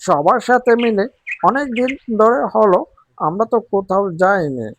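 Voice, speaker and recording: A cheerful cartoon crow, put on by a man, high in pitch. The neighbourly bird agrees readily, with slight noise behind. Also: lively intonation, moderate pace.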